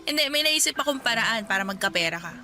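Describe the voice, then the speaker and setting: A woman acting out Sonia, a young woman who talks a lot and takes charge with a playful edge. Her voice sits a little high, with music running under the dialogue. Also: expressive, fast talker, natural voice. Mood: scheming. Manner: talkative, bossy, playful. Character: Sonia, chatty bossy young woman friend. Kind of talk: radio drama acting.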